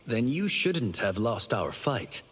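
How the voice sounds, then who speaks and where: A man's voice: medium pitch, sing-song, takes it slowly, fairly bright tone, faint music behind. An actor playing an earnest, dutiful young male champion fighter in video game dialogue. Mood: earnest, steady. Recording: long hall reverb.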